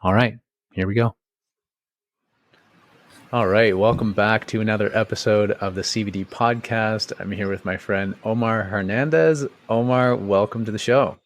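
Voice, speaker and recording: A man's voice: fairly low pitch, steady tone, moderate pace, dry recording. A young man hosting a podcast interview in a casual, chatty way, with informal phrasing and lots of "like". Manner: chatty, informal, peppers talk with "like". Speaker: podcast host.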